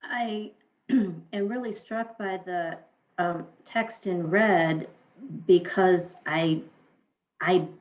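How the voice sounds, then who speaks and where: A woman's voice: on the low side, rough recording. A woman taking part in a group training, thinking aloud hesitantly and restarting her sentences, speaking over a video call. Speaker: training participant. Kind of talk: reflective group discussion.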